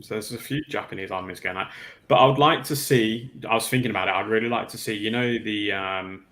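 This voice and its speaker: A man talks in a fairly low voice with plenty of fry. He sounds like a casual panelist, halting as he puts his thoughts together. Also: a bit bright. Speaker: livestream panelist. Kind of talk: casual chat.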